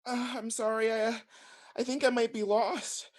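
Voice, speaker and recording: A woman's voice: fairly high pitch, flat delivery, normal speed, darkish tone, lightly acted. A woman playing an earnest, bewildered child turned puppet in a play reading. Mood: confused, timid.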